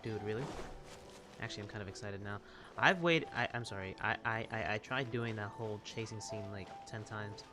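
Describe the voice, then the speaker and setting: A man's voice: lowish voice, a little fast. A man hosting a gaming video with informal, chatty commentary on his play. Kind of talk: casual gameplay commentary. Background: game music.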